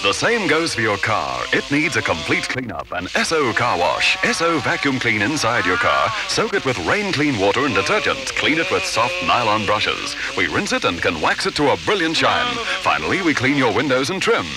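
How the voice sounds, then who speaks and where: A man's voice: mid-range voice, huge pitch swings, moderate pace, hardly any pauses. A man voicing a scripted 1970s radio commercial as announcer. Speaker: advertisement announcer. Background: loud music.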